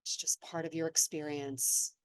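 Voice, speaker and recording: A woman slowly and gently leading a guided meditation, speaking in a soothing way. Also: low voice, little pitch movement, no room sound, rough recording. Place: seated in an office chair.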